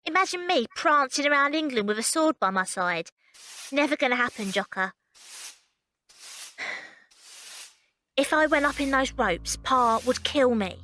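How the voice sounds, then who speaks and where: A woman's voice: sits high, lively intonation, moderate pace, pushed and bright. A woman playing a young, weary, plain-spoken circus runaway as narrator and lead of an audio drama. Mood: teasing.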